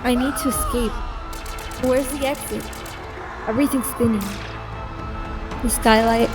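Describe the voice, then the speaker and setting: Disillusioned soldier girl thinking out loud, audio drama, sounds a bit off-mic, big music behind. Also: fairly high pitch, huge pitch swings, unhurried, recorded from a distance. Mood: shocked, anguished. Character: disillusioned young woman soldier on covert mission. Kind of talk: first-person audio drama inner narration.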